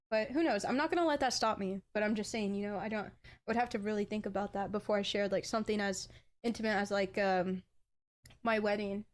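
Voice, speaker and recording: Chatty woman streamer with an accent. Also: medium pitch. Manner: chatty, bursts into excited "ooh"s over items. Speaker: game streamer. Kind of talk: casual live-stream chat.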